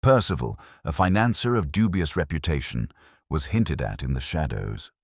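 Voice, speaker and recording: Man acting as a composed Victorian sleuth, extremely low voice, animated swoops, theatrical, precise, storybook detective. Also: normal speed, dry recording, clean studio sound, exaggerated voice. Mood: composed, lecturing. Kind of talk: audiobook detective story dialogue.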